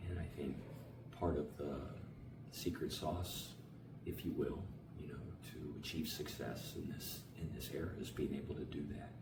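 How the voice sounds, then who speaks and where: A very deep-voiced man, a basketball coach, talks in a loose, energetic, slangy way, repeating words and filling pauses. He sounds set back from the mic in an echoey space, with steady background noise. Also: far from the mic. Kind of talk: casual interview answers.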